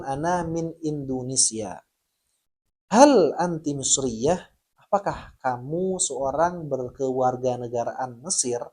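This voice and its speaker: A man teaching an online language lesson in a friendly, patient way. He explains each point and slows down to spell out the example phrases. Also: medium pitch, darkish tone, breathy edge. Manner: addresses viewers as friends.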